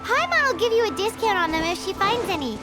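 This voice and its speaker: A woman voicing a small, cheeky, excitable fairy companion in a video game, teasing and blunt. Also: very high-pitched, fast, loud music behind the voice. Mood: curious, excited. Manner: speaks of herself in the third person, blunt.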